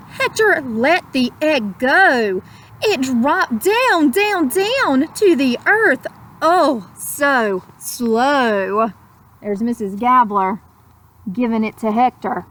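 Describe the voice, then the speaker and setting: A woman reading a children's story aloud in an expressive, dramatic manner, with simple explanatory asides. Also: high-pitched, slight background noise. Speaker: storybook reader.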